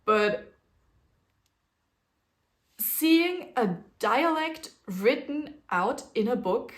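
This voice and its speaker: A woman's voice: fairly high pitch, animated, extremely slow. A young woman with a German accent, who grew up speaking a German dialect, chatting casually and rambling a little on her solo podcast. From German-speaking region. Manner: chatty, rambling, with fillers and small laughs. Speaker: podcast host.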